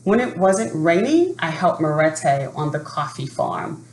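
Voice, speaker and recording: A woman reading a children's picture book aloud in an expressive, unhurried manner. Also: sits low. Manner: expressive, unhurried, adds asides to listeners. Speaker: storybook reader. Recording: roomy echo, faint background noise.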